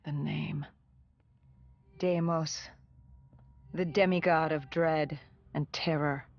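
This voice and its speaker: An actor playing a grim, battle-worn soldier in a video game, recounting an apocalypse in a grave, halting, deliberate way. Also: medium pitch, fairly even intonation, creaky, dull audio. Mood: grave, tense. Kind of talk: dramatic cinematic narration.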